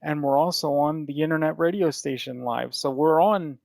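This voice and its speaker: Gravelly profane man chatting casually. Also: fairly high pitch, normal speed, darkish tone, no echo, clean studio sound. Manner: rambling, profane, restarts often. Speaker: podcast host. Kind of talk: casual chat.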